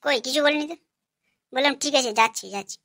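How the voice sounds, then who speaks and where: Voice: sits high, fairly even intonation, quick pace, sharp tone, no room sound, studio quality. Whiny, cheeky, lazy village son, acted out by a woman. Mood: backtracking. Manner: whiny, insolent. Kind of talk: comic cartoon dubbing.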